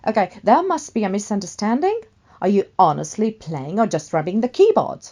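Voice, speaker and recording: A woman acts out a famous actress and full-blown diva in a radio play. She is vain and quick to flare up, with a haughty, melodramatic delivery. Her pitch leaps about as she gushes or scolds. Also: sing-song, mellow. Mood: impatient, scolding. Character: vainglorious, hot-tempered famous actress, a theatrical diva. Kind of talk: one-sided radio play dialogue.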